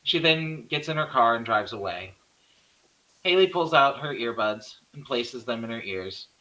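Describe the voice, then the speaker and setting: A man serves as narrator for a horror screenplay read. His mid-pitched voice is bright and crisp-edged as he reads scripted scene directions. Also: lively intonation, measured pace, lo-fi sound. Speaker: narrator reading stage directions.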